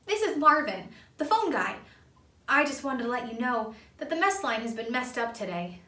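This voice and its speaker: Storybook phone guy, voiced by a woman: apologetic, courteous man, raised lively pitch, roomy sound. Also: moderate pace, distant mic. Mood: polite. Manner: polite, apologetic. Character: Marvin, apologetic male phone repairman.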